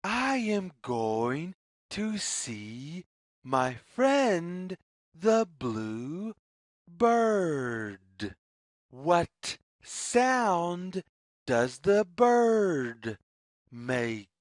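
A storyteller reading a children's read-along story in deliberate, sing-song phrases with pauses. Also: medium pitch, sing-song, no echo, studio quality. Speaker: read-aloud narrator.

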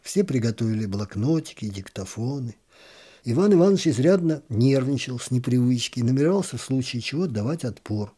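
A man reading a literary story aloud in an expressive, gently ironic storytelling manner. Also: mid-range voice, fairly quick, breathy edge.

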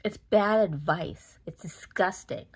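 Low-pitched Boston woman, emphatic. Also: slow pace, slightly rough. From Massachusetts, United States. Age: 40s. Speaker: vlogger. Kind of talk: casual opinion rant to camera. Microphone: phone at arm's length.

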